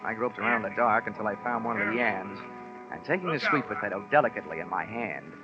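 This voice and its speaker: A man's voice: fairly low pitch, animated, quick pace, music in the background, lightly acted. An actor playing a wisecracking insurance investigator, narrating his case dryly in 1950s radio detective style. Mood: wry narration. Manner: dry, wry wisecracking. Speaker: lead and narrator of a radio drama. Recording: muffled old radio broadcast.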